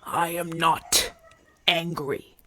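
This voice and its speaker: Man acting a gruff, proud gladiator, bright-edged voice. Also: a little high, flat delivery, drawn-out words, no room sound, exaggerated voice. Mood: irritated, pained. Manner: brusque, demanding, grumbling, sighing through pain. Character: gruff, proud, impatient, flirtatious champion gladiator in pain. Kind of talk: ASMR audio roleplay acting.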